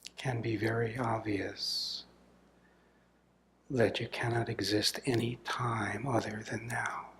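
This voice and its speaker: A man speaks very slowly in a medium-pitched voice, as a spiritual teacher guiding a meditation. His phrases are short and deliberate, with long silences between them. The delivery is soft and breathy, often fading toward a whisper, over faint background noise. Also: flat delivery. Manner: slow, deliberate, measured phrases with long pauses. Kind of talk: guided meditation, contemplative satsang talk.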